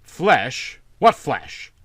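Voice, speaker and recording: A man's voice: mid-range voice, sing-song, extremely slow, fairly bright tone, breathy edge, dry recording, studio quality, full character voice. A man voicing a stuffy country landowner in a storybook, demanding, indignant and authoritative.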